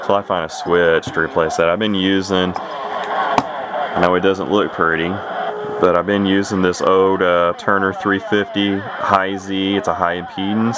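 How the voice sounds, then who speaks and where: Male hobbyist, deep voice, halting off-the-cuff demo delivery, very noisy recording. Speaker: radio hobbyist demonstrating equipment. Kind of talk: casual unscripted product walkthrough. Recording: heavy radio static and background noise.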